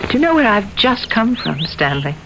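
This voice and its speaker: A gentle, concerned friend in a vintage radio drama. She speaks earnestly and sympathetically with lively swings of pitch, on a slightly noisy old broadcast recording. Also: normal speed. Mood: gentle, probing. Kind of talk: 1970s radio drama acting.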